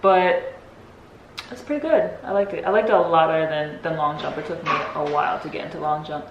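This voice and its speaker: A young woman with a lowish voice chats about her goals in an easygoing, giggly way. The sound is roomy and echoey, with faint noise in the background. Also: a little fast, muffled recording. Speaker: guest athlete. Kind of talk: casual Q&A chat.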